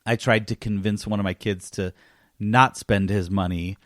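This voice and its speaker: A man's voice: fairly low pitch, steady tone, moderate pace, a bit bright, no room sound, studio quality. A middle-aged man chatting casually as the host of a gaming podcast.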